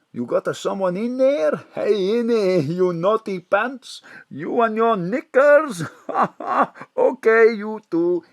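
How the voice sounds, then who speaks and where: A man's voice: high-pitched, creaky, full character voice. A man playing a chatty Greek landlord with a Greek accent, cheeky and jokey, in broken English. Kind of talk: audio drama acting.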